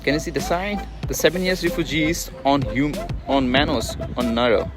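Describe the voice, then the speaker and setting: A man with a Bangladeshi accent vlogging live from a street, speaking in a halting, earnest, repetitive way. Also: medium pitch, animated, music in the background. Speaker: vlogger and refugee activist. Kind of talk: live street vlog, informal. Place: outdoors at a busy roadside protest.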